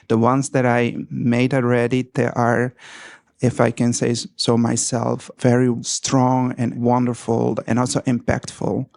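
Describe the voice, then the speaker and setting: A man from Haiti and Curacao reflects on his artistic work in a measured way. His delivery is slow and stays fairly level, with a gravelly fry in the voice. He sounds like a guest introducing himself to an audience. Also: medium pitch, a little mellow, no echo.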